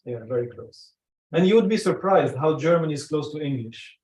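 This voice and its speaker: A man's voice: on the high side, expressive, moderate pace, darkish tone. A middle-aged man, a native Arabic speaker, giving an informal talk to a small group in an emphatic way, repeating phrases for weight. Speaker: speaker at a community meeting on personal branding. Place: indoor meeting room. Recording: echoey room, far from the mic.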